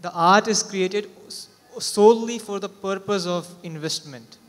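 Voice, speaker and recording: A man's voice: high-pitched, unhurried. A man in the audience asking questions in a polite, hesitant way. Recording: long hall reverb.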